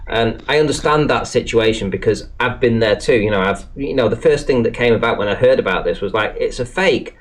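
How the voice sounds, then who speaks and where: A man hosting a casual online panel chat, animated and swearing freely, filling his talk with "you know". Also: medium pitch, brisk, hardly any pauses, poor audio. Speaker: panel host and chat moderator.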